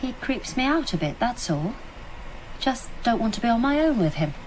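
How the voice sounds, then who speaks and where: An actress playing a brisk, rational, guarded female doctor in a radio drama, speaking matter-of-factly. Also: fairly high pitch, very animated, noisy background. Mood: guarded, defensive.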